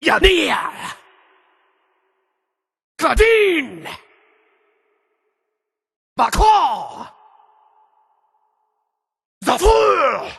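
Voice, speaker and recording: Fierce lizard warrior, high forceful shouts. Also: male voice, big pitch swings, drawn-out words, vocal fry, pauses often, exaggerated voice. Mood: fierce, shouting. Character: fierce male Argonian lizard-man warrior shouting magic words. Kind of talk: video game battle shouts, clipped single words.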